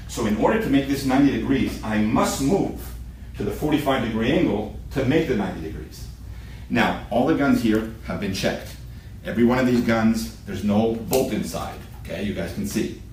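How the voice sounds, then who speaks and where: Male instructor in a very echoey room. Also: lowish voice. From Israel. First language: Hebrew. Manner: emphatic, repeats key points in short sentences. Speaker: military shooting instructor. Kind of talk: live lecture to a class. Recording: echoey room, distant mic, background noise.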